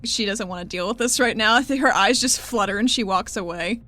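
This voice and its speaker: A woman's voice: medium pitch, fairly quick, pushed and bright, soft background music. A woman playing a tabletop roleplaying game, casually describing what her character does and says.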